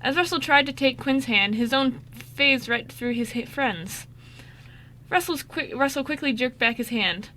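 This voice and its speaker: A woman narrates an amateur radio play from a script. Her voice is forward and very bright, set a little high. She reads the story with expression. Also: unhurried, a little hiss or hum, poor audio.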